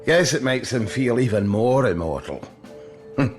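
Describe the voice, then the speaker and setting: An actor playing a genial, chatty old immortal tavern keeper in an audio drama, with a jovial, teasing, folksy manner. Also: male voice, mid-range voice, big pitch swings, normal speed, natural voice. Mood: hearty, welcoming. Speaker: barkeep. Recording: music under the dialogue.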